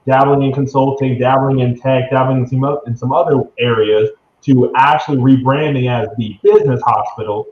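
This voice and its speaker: A man's voice: mid-range voice, fairly even intonation, brisk, hardly any pauses. A man in his early twenties giving confident, salesy explanations as an interview guest, often tagging phrases with "right?". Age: 20s. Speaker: interview guest, young business executive. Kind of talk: conversational interview answer, explanatory pitch. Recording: dull lo-fi sound, roomy echo, faint noise.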